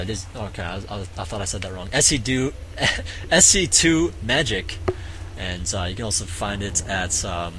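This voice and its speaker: A man casually commentating a strategy game match, halting with many fillers, his voice going hoarse. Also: lowish voice, a bit bright, slight background noise, rough recording. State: voice getting hoarse. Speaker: game caster.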